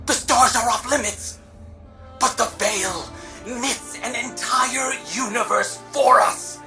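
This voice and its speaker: An actress playing an awestruck, fervent visionary in a sci-fi game, speaking with reverence. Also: female voice, fairly high pitch, takes it slowly, bright, forceful tone, soft background music. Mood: fervent wonder. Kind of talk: video game voice acting.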